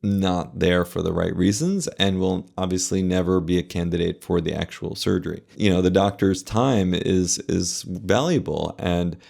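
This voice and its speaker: Male medical commentator, low and heavily creaky, measured and explanatory, casual delivery. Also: a little mellow. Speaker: plastic surgeon reacting to a video.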